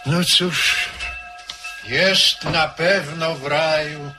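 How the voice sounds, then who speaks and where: A man playing a priest in a radio drama, recounting events calmly and solemnly. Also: fairly high pitch, very slow, music in the background. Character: priest recounting a lady's death.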